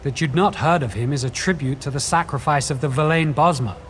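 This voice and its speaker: An actor playing a scholarly, wary quest guide in a fantasy video game, speaking in a measured, formal, articulate way. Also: male voice, on the high side, a bit bright, slight background noise, natural voice. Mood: measured, explanatory. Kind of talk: video game dialogue, explanatory. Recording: faint music behind.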